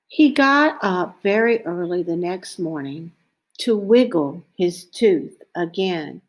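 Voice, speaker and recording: A woman's voice: fairly low pitch, animated, slow pace. A woman reading a children's storybook aloud as narrator. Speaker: storybook reader.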